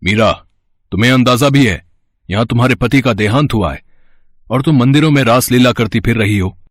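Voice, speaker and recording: An actor playing a stern, scheming royal brother-in-law in a storybook drama, commanding and indignant. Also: male voice, mid-range voice, a little fast, dry recording, studio quality, close to an everyday voice. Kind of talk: acted devotional storybook drama.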